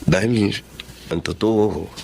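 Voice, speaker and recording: A lovestruck young Filipino man in a radio drama. His voice is fairly low, polite and earnest, with faint noise behind it. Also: takes it slowly, creaky, warm tone. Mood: earnest, loving.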